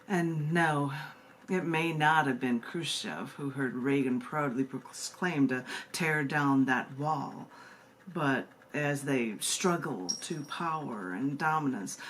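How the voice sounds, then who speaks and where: A woman with an American accent, a poet presenting and reading her own work live, emphatic and informal by turns. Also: extremely low pitch. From United States. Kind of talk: live poetry reading with informal spoken introductions. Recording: noticeable background noise and room ambience.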